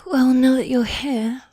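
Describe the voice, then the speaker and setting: A woman playing a teasing, needy yet dominant goth demon girlfriend in a fantasy ASMR roleplay, soft and breathy, often whispering, close to the mic. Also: high-pitched, no room sound. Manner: teasing, coy, affectionate, with sighs. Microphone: close, dry microphone.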